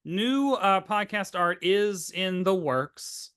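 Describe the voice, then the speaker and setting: A man's voice: high-pitched, animated, takes it slowly, fairly bright tone, clean tone, no echo, studio quality. A man co-hosting a podcast in casual, rambling chat, with wry, tangential asides that often interrupt his own sentences. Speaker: podcast co-host.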